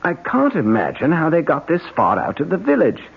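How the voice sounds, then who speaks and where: Anxious husband, lively swooping intonation, husky, urgent, emphatic, old narrow-band radio sound. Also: male voice, a little high, fairly quick, full character voice. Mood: concerned, civil. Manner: urgent, emphatic, repeating pleas. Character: worried husband, firm and soothing with his wife. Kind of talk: old-time radio drama acting.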